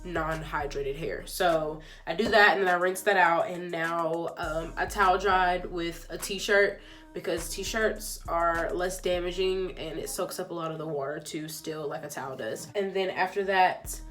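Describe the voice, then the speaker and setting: A woman's voice: lowish voice, measured pace, soft background music. A young woman with a stuffy, congested nose, chatting casually through a hair tutorial with lots of ums and likes. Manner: chatty, filler-heavy, relaxed. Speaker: beauty vlogger.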